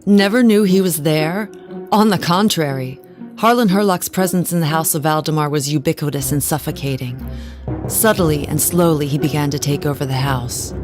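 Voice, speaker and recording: An actress playing a haunted, genteel wife who tells her story in a measured, dramatic way in an audio drama. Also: low-pitched, darkish tone, soft background music, lightly acted. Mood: haunted, grave. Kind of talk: scripted audio drama narration.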